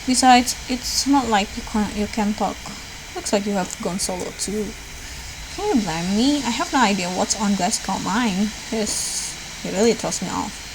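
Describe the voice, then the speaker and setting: Clear background noise sits around a woman's medium-pitched voice. She reads visual novel dialogue aloud in a let's play style, giving the lines a light touch of acting. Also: unhurried. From Indonesia. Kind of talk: read-aloud game dialogue, acted lightly.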